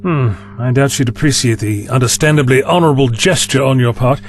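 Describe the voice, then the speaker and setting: A man's voice: mid-range voice, fairly quick, slight background noise, close to an everyday voice. A man playing a courteous, absent-minded time traveler in an audio drama, old-fashioned and polite. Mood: puzzled.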